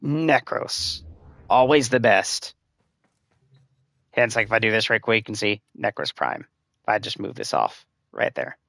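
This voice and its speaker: A man giving casual gameplay tips on a live stream, his voice a little hoarse and scratchy, talking in loose rambling runs full of "like" and "uh". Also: on the low side, animated, measured pace, pauses often, a bit bright, no room sound, studio quality. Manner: rambling, filler-heavy advice-giving. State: slightly hoarse, losing voice. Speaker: game streamer.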